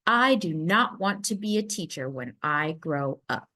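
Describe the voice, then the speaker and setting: A woman's voice: low-pitched, animated, unhurried, sharp tone, heavily acted. A woman voicing a headstrong, theatrical little schoolgirl in a children's storybook. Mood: defiant. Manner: emphatic, theatrical.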